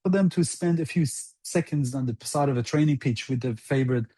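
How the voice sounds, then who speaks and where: Mellow, halting man recalling his past. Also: mid-range voice, breathy edge. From outside Iran. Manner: halting, deliberate, repeats phrases for emphasis. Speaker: interview guest, former national football team interpreter. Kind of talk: earnest interview recollection.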